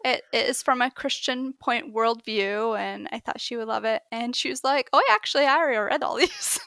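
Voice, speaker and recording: A woman's voice: fairly high pitch, brisk, gravelly, a bit bright, smooth, no room sound, clean studio sound. A woman in her thirties or forties, a podcast co-host chatting casually and animatedly about books she has read. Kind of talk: casual book chat.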